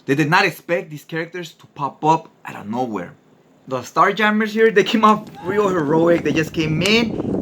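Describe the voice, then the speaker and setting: A man's voice: a little high, fairly bright tone, slight background noise. A man hosting a comic book recap, narrating and commenting in a casual, slangy, conversational way.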